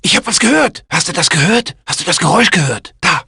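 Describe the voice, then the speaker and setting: Raspy, high-pitched edgy security guard. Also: male voice, lively intonation, quick pace, pushed and bright, no echo, clean studio sound, full character voice. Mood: wary, alert. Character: edgy, suspicious male security guard on patrol. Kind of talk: German dub of game guard barks.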